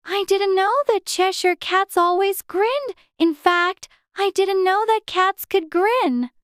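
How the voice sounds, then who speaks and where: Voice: very high-pitched, slow pace, a bit bright, dry recording, studio quality, pitched above the performer's own voice, heavily acted. A narrator voicing a curious, prim and polite little girl in a storybook, earnest and insistent.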